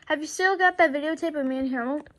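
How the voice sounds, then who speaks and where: A mischievous young schoolboy from a children's storybook, played by a woman reading aloud. The voice is high and eager, with a bossy streak. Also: quick pace, a bit bright. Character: mischievous, excitable schoolboy prankster George.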